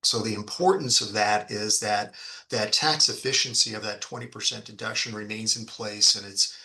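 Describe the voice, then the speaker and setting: A man giving an explanatory business presentation as a fund executive, speaking haltingly with frequent ums and repeated words. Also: on the low side, steady tone, creaky, pushed and bright, poor audio. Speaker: fund executive presenting on an investor earnings call.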